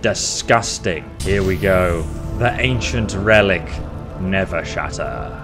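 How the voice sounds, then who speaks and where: A man gives casual commentary on a game he is playing. His voice sits on the low side and his delivery is playfully theatrical. Game music is clearly heard behind him. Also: slow pace. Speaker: gaming video host. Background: game soundtrack.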